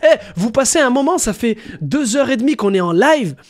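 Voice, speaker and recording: A young man with a notably high voice talks on a casual live stream. He is animated and slangy, swears freely and spins crude stories like a prank caller. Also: normal speed. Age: young adult. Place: small room at home.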